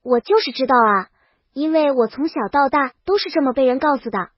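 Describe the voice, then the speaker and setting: Woman storyteller, high voice, comic first-person narration, very dry but dull lo-fi sound. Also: fairly quick, dry recording. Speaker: audiobook narrator.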